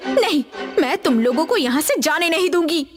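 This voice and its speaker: Female role in a horror story, performed by a woman: very high, emphatic and theatrical, over background music. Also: expressive, normal speed. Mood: accusing. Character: women of a horror tale, anxious and accusing. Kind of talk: dramatized horror audio story.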